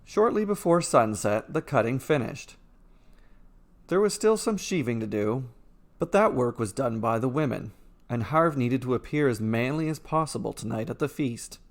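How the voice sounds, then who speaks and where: A man's voice: mid-range voice, expressive, normal speed. A man reading a scripted fairy tale as its storyteller and narrator. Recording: music playing behind the voice. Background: instrumental music.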